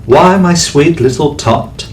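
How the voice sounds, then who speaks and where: A man voicing the Grinch as a sly, scheming storybook creature who tells smooth lies. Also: on the high side, takes it slowly, a little hiss or hum, pitched above the performer's own voice. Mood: wheedling. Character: sly scheming male Grinch, grumpy and slick. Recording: voice from off-mic, faint music.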